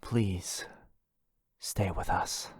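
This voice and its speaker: A man playing a needy, wounded yandere vampire brother in a boyfriend roleplay audio drama, halting and intense. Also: low-pitched, steady tone, slightly rough, dry recording, studio quality, full character voice. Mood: clingy, pleading.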